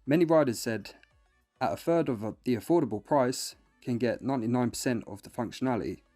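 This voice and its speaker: A man's voice: medium pitch, a little fast, warm tone. A man reading a scripted product review in an instructional, step-by-step way. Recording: music bed behind voice. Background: music.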